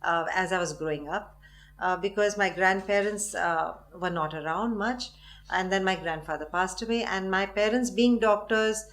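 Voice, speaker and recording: A middle-aged woman with a Tamil-tinged Indian English accent speaks at an ordinary mid pitch as an interview guest. She answers frankly and firmly, building her points with conviction. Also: normal speed, a bit bright. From Chennai, India. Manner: earnest, firm, with light laughs.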